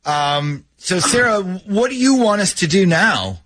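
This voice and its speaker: Animated higher-pitched man hosting morning radio. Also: unhurried. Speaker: morning radio show host. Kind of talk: radio prank call banter. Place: radio studio.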